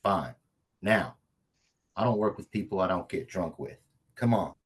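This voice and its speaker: A husky, low-voiced lone agent played by a man, stubborn and cocky. He talks in profane street slang, like the hard-drinking hero of a comedy action film read aloud. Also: lively intonation, a little slow, full character voice. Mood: cocky, wheedling. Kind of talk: virtual table read of a comedy screenplay.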